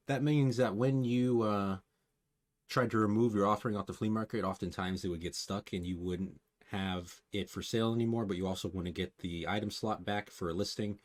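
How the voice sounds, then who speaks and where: Male gaming guide host, lowish voice, casual and opinionated, reads notes then adds dry remarks. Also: fairly even intonation, brisk. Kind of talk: casual patch notes review with commentary.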